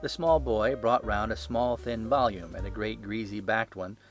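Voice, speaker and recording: A man's voice: fairly low pitch, moderate pace, faint music behind. A man reading a scripted story aloud as an audiobook narrator.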